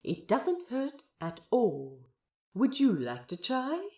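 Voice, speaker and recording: A woman voicing a gentle, fussy male aquarium guide in a fan fiction audio drama, courteous, teacherly and softly enthusiastic. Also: a little high, huge pitch swings, takes it slowly, exaggerated voice. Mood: patient, encouraging.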